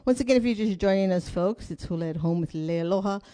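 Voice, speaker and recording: A woman's voice: medium pitch, fast talker, mellow. A woman teaching an online hula class, upbeat and chatty as she calls out steps, counts and questions to viewers from a room indoors. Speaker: hula instructor. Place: indoor room with curtains closed.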